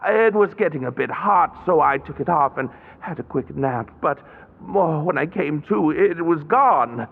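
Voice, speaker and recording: A man's voice: a little high, lively intonation, unhurried, creaky, narrow, tinny audio, a little hiss or hum. A man voicing a shy, polite young man who hesitantly asks for help finding his hat, in a video game.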